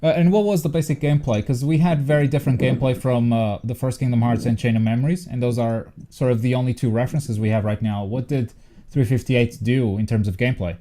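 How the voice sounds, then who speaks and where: A man's voice: medium pitch, steady tone, fast talker, mellow. A man hosting a livestream and chatting casually and informally with viewers. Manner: informal, swears freely. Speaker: livestream host.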